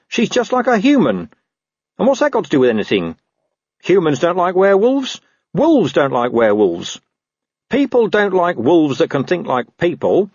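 A man's voice: high voice, darkish tone, husky, dry recording, voice pushed up, heavily acted. A man voicing a cynical, scruffy talking street dog who grumbles and wheedles. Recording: dull dry recording.

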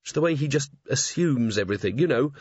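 A man's voice: mid-range voice, animated, quick pace, darkish tone, breathy edge, no room sound. A man voicing a guarded young werewolf woman who speaks curtly and dryly. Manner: dry, curt. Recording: slightly dull lo-fi audio.

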